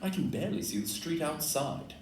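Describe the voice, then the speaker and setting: A man's voice: on the high side, animated, brisk, a little mellow. A man acting a keen, exacting detective on stage in an audio play, speaking in a precise, declamatory, analytical way. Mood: observant, dry. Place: live indoor performance in a club room. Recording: roomy distant live recording, background noise.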